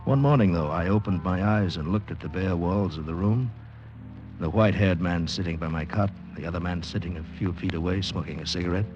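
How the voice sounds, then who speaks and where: An actor playing a bewildered amnesiac American man in a halting, dramatic 1940s radio style. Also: low-pitched, dull audio, over music, close to an everyday voice. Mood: dazed, reflective. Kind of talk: 1940s radio acting, first-person narration and dialogue. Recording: noisy old radio drama recording.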